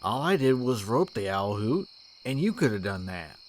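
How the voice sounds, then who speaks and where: An actor playing a devoted, eager young cowboy with folksy speech in a western audio drama. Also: male voice, on the low side, big pitch swings, normal speed, slight background noise. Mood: earnest. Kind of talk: western comic-book audio drama acting.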